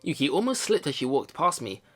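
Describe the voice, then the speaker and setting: Male let's player, voice a little high, casual and rambling, reads narration then muses aloud. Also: sing-song, fairly quick, noisy background. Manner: rambling, repeats phrases, thinks aloud. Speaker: let's play host. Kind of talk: casual game commentary mixed with reading visual novel narration aloud.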